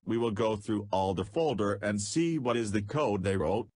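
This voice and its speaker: Tutorial narrator: man, low husky voice, terse step-by-step delivery, dry close recording. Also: moderate pace, darkish tone, no echo. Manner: terse, step-by-step instructions with non-native phrasing. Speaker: programming tutor.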